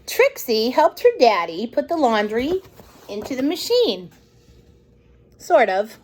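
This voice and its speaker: A woman hosting storytime, reading a picture book aloud to young children in an expressive way with conversational asides. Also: fairly high pitch, slow pace.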